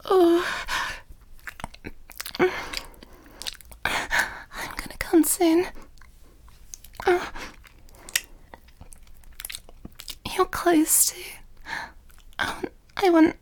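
Actress as affectionate girlfriend figure for whispered audio roleplay: high register, very slow, breathy, halting delivery. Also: little pitch movement, darkish tone, full character voice. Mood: aroused, breathless. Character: amorous, tender female lover with a tail.

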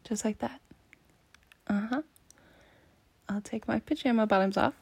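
A woman in an intimate adult audio roleplay, speaking in a breathy, teasing near-whisper with frequent sighs. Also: on the high side, darkish tone, no room sound. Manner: slow, intimate, teasing, sighing.

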